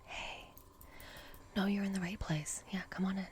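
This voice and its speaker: A woman doing a gentle ASMR roleplay as a reassuring technician, speaking in an unhurried, breathy whisper. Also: medium pitch, fairly even intonation, a little hiss or hum. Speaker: ASMR roleplay performer playing a repair technician.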